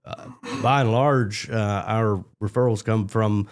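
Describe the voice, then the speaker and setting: Roofing company owner, guy with a lowish voice, plain and matter-of-fact. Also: steady tone, unhurried. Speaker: roofing business owner, guest. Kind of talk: casual interview answers.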